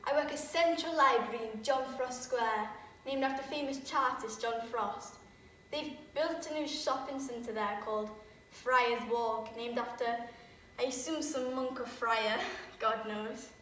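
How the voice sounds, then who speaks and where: A woman's voice: fairly high pitch, unhurried, a bit bright, slight background noise. Librarian mum, orderly and confiding, woman in role; distant, roomy echo. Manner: confiding, wry storytelling monologue. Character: orderly librarian woman, young mother, chatty and fond. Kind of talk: stage monologue. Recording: echoey room from far off.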